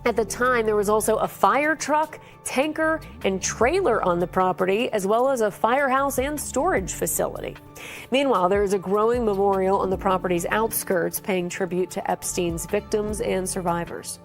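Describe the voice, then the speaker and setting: News lady reading a tv report, medium pitch, faint music behind. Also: normal speed.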